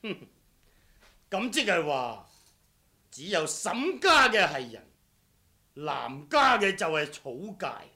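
Sardonic vengeful swordsman, slow and theatrical. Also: sits high, very animated, bright, forceful tone, exaggerated voice. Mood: scornful. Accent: Cantonese. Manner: mock-courteous, taunting, theatrical. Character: vengeful, sardonic swordsman come to settle a blood debt. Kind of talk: Cantonese martial-arts radio drama acting.

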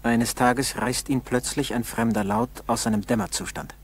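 A man narrating a scripted 1960s German school radio drama in a measured way with dramatic pauses. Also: mid-range voice, lively intonation, creaky, slightly rough. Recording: noisy old 1960s radio recording.

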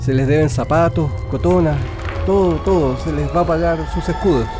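A man's voice: fairly high pitch, close to an everyday voice. Apologetic Chilean boss over music, acted by a man. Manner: halting, apologetic, repeats words. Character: apologetic male company boss, uneasy but following orders. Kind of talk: radio drama acting.

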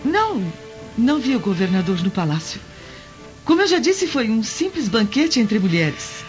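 An actress with a Brazilian accent playing a gentle, devoted senator's wife in a radio drama, with polite, pleading diction. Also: female voice, a little high, very animated, moderate pace. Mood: placating. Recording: dull lo-fi radio drama with music.

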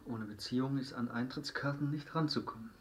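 Schauspieler als knapper, abweisender Mann. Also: male voice, mid-range voice, brisk, noisy background, lightly acted. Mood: curt. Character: curt, dismissive man talking with his partner. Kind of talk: naturalistic radio drama dialogue.

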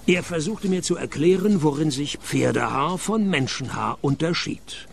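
An actor playing a sardonic private detective who narrates a radio play in a dry, deadpan, mocking tone. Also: male voice, a little high, moderate pace, gravelly, a little hiss or hum. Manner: deadpan, sarcastic.

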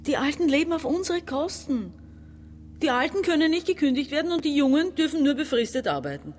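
Embittered, animated woman around forty. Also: sits high, fairly quick, a little hiss or hum. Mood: resentful, agitated. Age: middle-aged. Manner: reproachful, insistent. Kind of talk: radio play dialogue and monologue.

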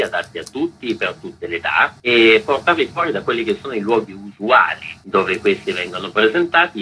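Bright, forceful low-voiced man being interviewed. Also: slight background noise, rough recording. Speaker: interview guest presenting a festival. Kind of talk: informative promotional interview.

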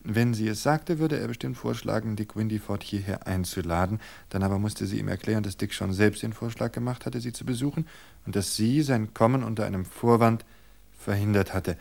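A man narrating a novel in a calm, measured storytelling voice. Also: lowish voice, gravelly.

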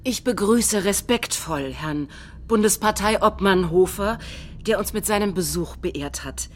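A woman acting in a radio play, reciting a politician's speech in a formal, measured manner. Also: mid-range voice, animated, slight background noise, lightly acted. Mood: formal, courteous. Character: formal politician welcoming allies at a rally.

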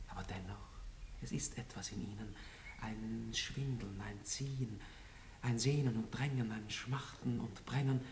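A man's voice: fairly low pitch, a little slow, close to an everyday voice. An impetuous, boyish young aristocrat in love, played by a man, speaks with rapturous stage diction. It is a 1960s radio play, heard at some distance from the microphone in a reverberant room, with faint hiss behind. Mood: rapturous, tender. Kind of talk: 1960s German-language radio play acting. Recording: roomy, distant, hissy old radio recording.

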